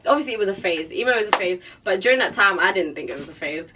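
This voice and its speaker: A woman's voice: medium pitch, expressive, racing, bright, forceful tone, breathy edge. A young woman from the Philippines, chatting casually as a podcast guest, full of slang and fillers. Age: young adult. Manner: slang-heavy, filler-laden, laughs often. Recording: distant mic, faint background noise.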